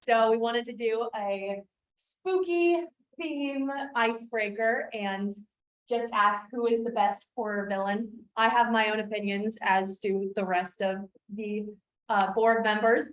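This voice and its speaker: A young woman on a student club board, loud and bubbly, chatting casually at a club meeting. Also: medium pitch, fairly even intonation, a little slow, poor audio.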